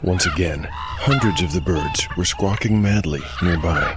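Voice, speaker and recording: A man acting as game master and narrator of a dark fantasy audio drama. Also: deep voice, unhurried, over loud music.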